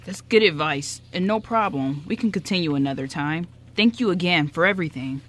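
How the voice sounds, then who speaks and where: Woman playing an earnest, low-voiced young man. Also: expressive, a little fast. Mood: polite, eager. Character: earnest, polite young man, an amateur actor. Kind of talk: amateur radio drama acting.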